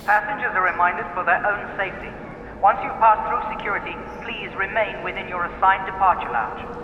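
A man's voice: high voice, a little fast, a crowd in the background, lightly acted. An actor in an audio drama playing a formal male airport announcer. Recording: dull, echoey public address in a hall.

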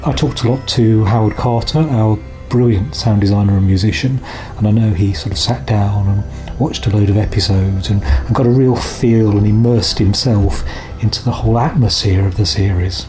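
A man's voice: lowish voice, normal speed, dark tone, faint music behind. A man speaking as an audio drama producer in a behind-the-scenes interview, explaining things reflectively.

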